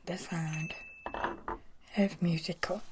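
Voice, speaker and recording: Lady doing asmr, soft gentle whisper, super slow, kinda low voice. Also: fairly even intonation, very noisy. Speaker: ASMR video creator and host.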